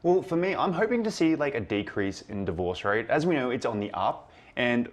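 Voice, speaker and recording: A man's voice: mid-range voice, big pitch swings, a little fast. A young man speaking casually as a panelist in a TV studio discussion.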